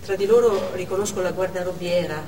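Woman survivor played by a stage actress, shaken, measured dramatic monologue, distant mic in a noisy reverberant hall. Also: lowish voice, steady tone, natural voice. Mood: tense, dread. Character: shaken woman survivor recounting a theatre hostage siege. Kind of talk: theatrical monologue, first-person narration on stage. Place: theatre stage, distant microphone. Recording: echoey theatre hall from far off, noisy.

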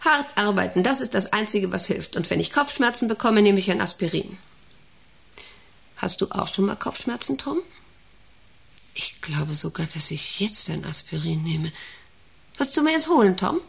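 An actress playing a middle-aged, self-important and intrusive company director in a radio play, rambling and domineering with a creaky voice. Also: female voice, mid-range voice, animated, normal speed, pauses often, a bit bright. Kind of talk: radio play monologue and dialogue.

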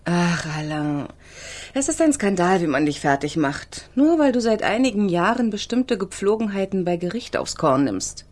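A woman's voice: fairly low pitch, big pitch swings, normal speed, darkish tone. A woman acting a lawyer's loyal, indignant confidante in a radio crime drama, speaking with earnest emphasis. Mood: indignant, concerned.

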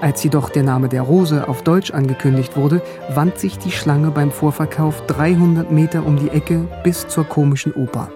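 A man's voice: on the high side, fairly even intonation, normal speed, few pauses, music in the background, natural voice. A man playing a young philosophy student in a radio drama, telling his story in the first person in a plain voice. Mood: reflective. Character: young male philosophy student, narrating his own memories.

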